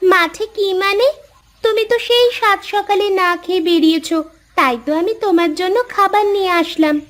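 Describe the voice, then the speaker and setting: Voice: very high voice, normal speed, fairly bright tone. A performer voicing a cheeky, affectionate young farmer's daughter in a moral-story cartoon, teasing and a little bossy. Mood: fond scolding.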